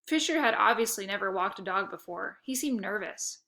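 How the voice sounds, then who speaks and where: A woman reading a children's chapter book aloud in a lively storytelling manner. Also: medium pitch, moderate pace, gravelly. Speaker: reader.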